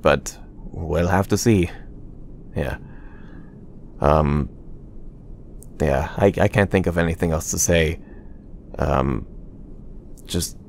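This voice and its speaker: A man acts out a reserved male warrior murmuring at bedtime in an ASMR roleplay. The voice is very low and unhurried, halting and awkward between phrases. There is some background noise on the recording. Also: vocal fry, exaggerated voice.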